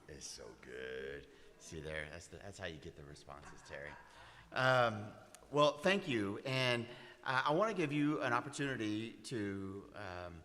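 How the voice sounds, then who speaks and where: A man with a low voice leads a church group, moving from prayer into relaxed, rambling questions for his guest. The recording carries the long reverb of a church hall. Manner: easygoing, meandering questions. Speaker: class leader and host. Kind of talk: prayer, then leading a group discussion. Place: church meeting room.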